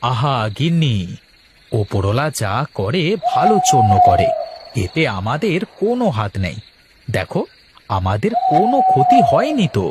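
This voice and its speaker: A man's voice: a little high, huge pitch swings, moderate pace, very noisy. A man playing a humble, cheerful blind village fish seller in a cartoon, with an eager, simple, folksy manner. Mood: earnest. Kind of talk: cartoon voice acting.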